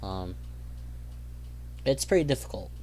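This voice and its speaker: A young man talks casually over his gameplay, drifting from point to point and filling the gaps with plenty of ums. His voice sits a little low and his delivery is slow and unhurried, and a faint hum runs under the recording. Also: very animated, warm tone. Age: young adult. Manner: rambling, filler-heavy, easygoing. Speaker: gaming channel host.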